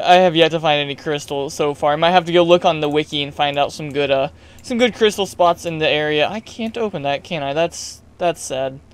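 A young man with a high, smooth voice chats his way through a survival game at his desk mic. He is excitable and shouts at pesky creatures. His voice is a bit hoarse, as if he is losing it. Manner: chatty, excitable, yells at creatures. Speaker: gaming YouTuber. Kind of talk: casual gameplay commentary.

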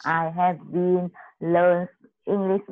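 A woman's voice: fairly low pitch, flat delivery, no room sound. Hesitant woman on a dull video call. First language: Vietnamese. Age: 30s. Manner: hesitant, many pauses and fillers. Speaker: student. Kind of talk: halting answers in an online lesson.

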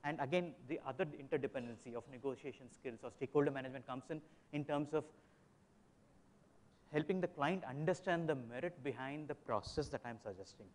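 A fast-talking man in his forties, a panelist at a business conference. He makes his points with quick, emphatic examples, speaking into a handheld mic in a large hotel hall. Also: mid-range voice.

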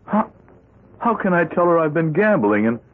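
An actor playing a desperate, cornered accountant, flustered, pleading and halting, in a 1970s radio drama. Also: male voice, on the high side, big pitch swings. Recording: muffled old radio broadcast with music.

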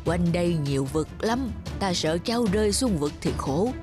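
A woman's voice: on the low side, lively intonation, normal speed, lightly acted. A woman voicing an old demon woman who wheedles like a frail granny and threatens, in a cartoon fairy tale. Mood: coaxing. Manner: coaxing, scheming. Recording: music behind the voice.

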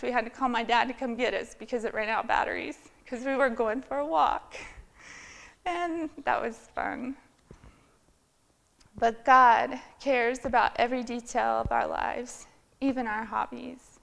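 A woman's voice: a little high, vocal fry. A middle-aged woman sharing her personal testimony with earnest, heartfelt storytelling at a church women's event, speaking from a lectern in a large church sanctuary. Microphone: filmed by a far camera.

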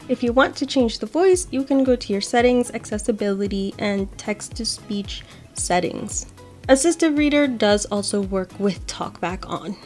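A woman's voice: medium pitch, darkish tone. A young adult woman hosting a tech tutorial, explaining steps casually. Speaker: host of accessibility tutorial videos. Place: small home office, indoors.

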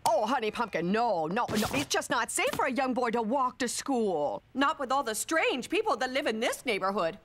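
A woman's voice: on the high side, sing-song, moderate pace, hardly any pauses. A doting, anxious cartoon mother, coos and frets. Her raised voice swoops about over noticeable background noise. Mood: fretful. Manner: cooing, fretful, babying. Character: doting, anxious, smothering mother of a young boy. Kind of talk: animated comedy acting.

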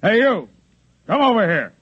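An actor playing a gruff, incredulous spaceship captain with a blunt, colloquial manner in 1950s radio drama. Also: male voice, high voice, very animated, normal speed, no room sound, exaggerated voice. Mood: commanding. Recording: dull, dry 1950s radio recording.

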